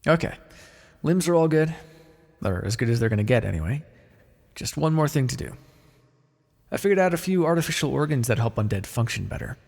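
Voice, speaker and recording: Husky, creaky necromancer played by a man. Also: medium pitch, expressive, a little fast, long pauses, a little mellow, long reverb tail. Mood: focused, instructive. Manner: casual, conversational, wry. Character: pragmatic, chatty male necromancer, matter-of-fact and ambitious. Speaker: roleplay voice actor. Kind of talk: ASMR fantasy roleplay, one-sided dialogue.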